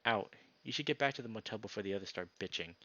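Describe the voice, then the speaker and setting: Give me a man playing a moody male salamander game character, low-pitched, clipped and dismissive, with dull mic sound. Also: quick pace, pushed and bright, dry recording. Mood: curt, aloof.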